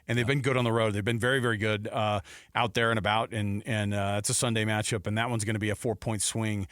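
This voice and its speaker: A man's voice: on the low side, flat delivery, fast, a bit bright, no echo, studio quality. A man hosting casual sports talk radio, chatty and repeating himself for emphasis.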